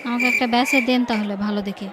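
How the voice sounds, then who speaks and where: A woman voicing a village mother who talks briskly, scolding yet tender. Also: a little high, steady tone, heavy background noise, close to an everyday voice.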